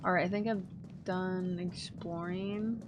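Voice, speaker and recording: A woman's voice: on the low side, steady tone, measured pace, lo-fi sound, noisy background. A woman live-streaming a game, chatting casually with viewers in playful, slangy, profane banter. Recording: game music behind the voice. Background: video game music.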